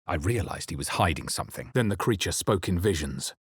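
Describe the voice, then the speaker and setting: A male actor playing a brooding fantasy adventurer in a role-playing game, with a husky voice and a measured, dramatic delivery. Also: low-pitched, animated, no room sound, clean studio sound, exaggerated voice. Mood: grave, tense. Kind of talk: scripted game voice lines and first-person narration.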